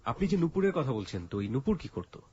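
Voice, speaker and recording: A man's voice: medium pitch, big pitch swings, quick pace, mellow, husky, dull audio. A Bangladeshi man hosting a radio interview, asking a guest probing questions.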